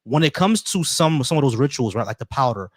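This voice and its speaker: A man hosting a call-in stream, arguing quickly and repeating phrases to make his point. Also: mid-range voice, lively intonation, no echo, clean studio sound. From United States. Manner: rapid, argumentative, repeats phrases. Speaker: host. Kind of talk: casual debate on a call-in stream.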